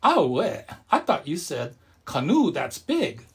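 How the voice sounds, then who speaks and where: Man as old grandpa, children's storybook, cheerful, obliging, muddled, husky, lively intonation. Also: a little high, slow pace, full character voice. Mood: sheepish. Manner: hesitant "oh, eh" before explaining.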